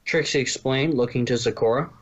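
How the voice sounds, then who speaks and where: A man's voice: medium pitch, fairly quick, lo-fi sound. A man reading a story aloud as narrator, now and then stumbling and correcting himself. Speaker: narrator, fan fiction reader.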